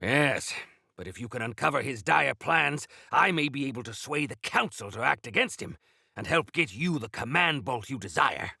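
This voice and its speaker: An actor playing a defiant, feisty old exiled warrior-engineer in a video game, gravelly and theatrical, with grandiose, archaic turns of phrase. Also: male voice, medium pitch, lively intonation, moderate pace, bright, forceful tone, no echo, clean studio sound, exaggerated voice. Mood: measured, scheming. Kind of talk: video game character acting.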